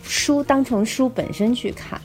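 A woman's voice: on the high side, a little slow, faint music behind. A woman co-host speaking in a casual, conversational style. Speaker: talk show co-host, relaying listener questions. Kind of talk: casual chat.

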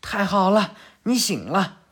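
A man's voice: high-pitched, sing-song, unhurried, a bit bright, slightly rough, pitched above the performer's own voice, exaggerated voice. A man voicing a young female ninja who scolds her teammate sharply. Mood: urgent.

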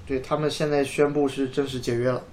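A man co-hosting a casual motor racing chat, often repeating words and agreements in quick succession. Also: medium pitch, flat delivery, a little mellow, slight background noise. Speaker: podcast co-host.

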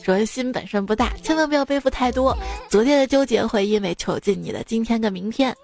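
A woman's voice: a little high, fairly quick, gravelly, darkish tone. A young woman hosting a chatty comedy monologue in a playful, teasing and theatrical manner. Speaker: host of a comedy joke show. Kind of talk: chatty humorous monologue over music. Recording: music bed behind the voice. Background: background music.

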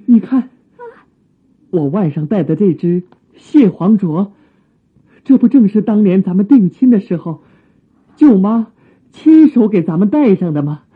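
A tender, courteous young gentleman in a radio drama. He speaks slowly and humbly in a husky voice, with emotive classical phrasing. The recording is narrow and muffled. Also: high voice, animated, full character voice. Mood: tender, emotional. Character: courteous young musician, tender returning fiancé. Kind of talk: theatrical Chinese radio drama acting. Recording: muffled, narrow phone-like sound.

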